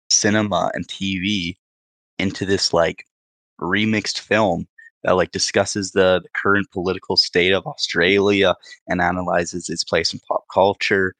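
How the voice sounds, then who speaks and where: Deep-voiced young man, laid-back talk. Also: fairly bright tone, no room sound, low-quality recording. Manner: laid-back, rambling, laughs at his own jokes. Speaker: podcast co-host. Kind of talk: casual chat.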